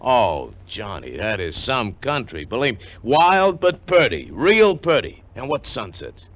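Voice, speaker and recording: A man's voice: mid-range voice, sing-song, unhurried, a bit bright. An actor playing a big, simple, jovial American husband in a 1950s radio drama, boisterous and folksy in his talk. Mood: eager, rambling. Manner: boisterous, folksy, repetitive, chuckling.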